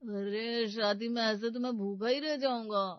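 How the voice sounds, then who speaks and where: महिला की आवाज़ नहीं, पुरुष अभिनेता: नाचने का शौकीन, मिन्नतें करता जवान दूल्हा. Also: male voice, sits high, steady tone, normal speed, no echo, lightly acted. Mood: doubtful. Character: dance-crazy young groom, pleading and easily swayed. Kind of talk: animated Hindi comedy story dialogue. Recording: dull, lo-fi studio voice-over.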